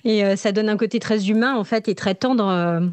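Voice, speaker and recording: A woman's voice: mid-range voice, fairly quick, a little mellow, dry recording. A woman hosting an interview in casual, informal chat, with hesitant phrasing as she thinks aloud. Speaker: podcast host and interviewer.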